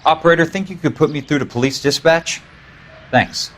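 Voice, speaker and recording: An actor playing a plain-spoken, dogged American insurance investigator in a period noir video game. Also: male voice, fairly high pitch, brisk, a bit bright, lightly acted. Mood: dogged, businesslike. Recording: faint background noise.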